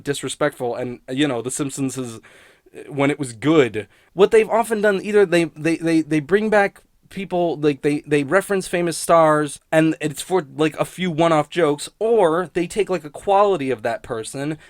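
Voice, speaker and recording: A man's voice: fairly high pitch, dry recording, studio quality. A man giving a casual, rambling spoken review in a blunt, sweary, grumbling manner. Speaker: host of a TV criticism show.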